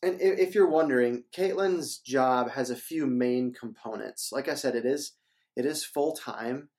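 A man's voice: mid-range voice, measured pace, a little mellow. A young man from Minnesota, a church staff member hosting a casual interview, upbeat and promotional and often repeating words.

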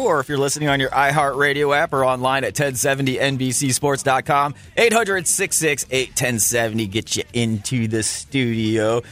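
A man's voice: a little high, a bit bright. A man hosting a sports talk radio show, delivering commentary from a studio.

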